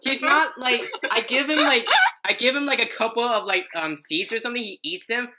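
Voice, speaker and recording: A woman's voice: a little high, fairly quick, pushed and bright. A young adult woman telling a personal story in casual podcast chat, rambling and often stumbling over her words.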